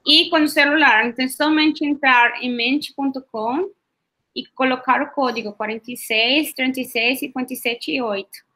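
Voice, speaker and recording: High bright Colombian woman leading a workshop. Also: normal speed. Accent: Colombian Spanish. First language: Spanish. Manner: hesitant, frequent fillers and tag questions. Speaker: workshop facilitator. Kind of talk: online workshop talk. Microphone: video call.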